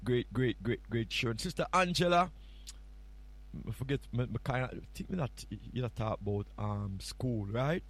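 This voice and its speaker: A man with a Jamaican accent chatting casually as a radio host, often repeating words and phrases for emphasis. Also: mid-range voice. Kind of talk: casual morning radio show chat. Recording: music playing behind the voice. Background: music.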